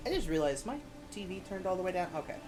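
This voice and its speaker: Live streamer, young adult, youthful voice, loose emphatic rambling with casual swearing, game music clearly behind. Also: mid-range voice, big pitch swings, fast. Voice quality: youthful-sounding. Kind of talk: casual chat while gaming. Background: video game music.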